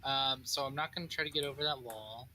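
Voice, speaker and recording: A man's voice: mid-range voice, fast, crowd noise. A man chatting casually as a player in a tabletop role-playing game.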